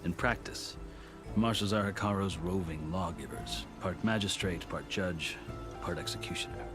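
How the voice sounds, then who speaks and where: A man playing a seasoned, proud warrior turned lawgiver in a video game, telling his tale in measured, formal, eloquent phrases. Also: low-pitched, close to an everyday voice. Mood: proud, wry. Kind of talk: scripted video game cutscene dialogue. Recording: music behind the voice.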